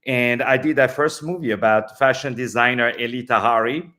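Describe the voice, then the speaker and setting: A middle-aged Frenchman speaks in a relaxed interview, his French accent plain under his English. He is effusive and playful, tossing in jokes and fillers as he talks about his work, in a voice of ordinary pitch. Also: moderate pace. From France. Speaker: film director and producer.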